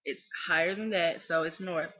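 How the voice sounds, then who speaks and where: A woman's voice: lowish voice, fairly even intonation, moderate pace. A young woman from Kentucky with a Southern accent, ranting on a webcam vlog in an emphatic, scolding way with lots of "you know what I'm saying". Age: young adult. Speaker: vlogger. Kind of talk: opinionated webcam rant. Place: small dim room indoors. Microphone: webcam close up. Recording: dull lo-fi webcam audio.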